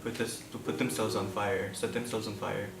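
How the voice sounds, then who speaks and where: A man from Pakistan, a physics student, telling his story in a soft-spoken, reflective way. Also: fairly low pitch, little pitch movement, normal speed, creaky, background noise. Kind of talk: documentary interview answers. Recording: echoey room, distant mic, music bed.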